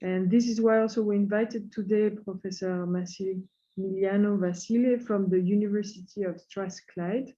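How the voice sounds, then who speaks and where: A woman's voice: mid-range voice, fairly even intonation, warm tone. A woman hosting a webinar over a video call, politely introducing a speaker and giving housekeeping rules with frequent hesitations. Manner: polite, halting, full of hesitations. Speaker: webinar host and moderator. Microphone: computer mic on a video call. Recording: dull lo-fi call audio.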